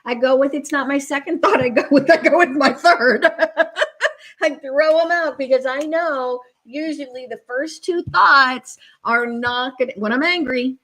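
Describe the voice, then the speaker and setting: Female co-host in her 50s, high voice, teasing, laughs a lot, interjects freely. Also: measured pace, a bit bright, rough recording. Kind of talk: casual chat.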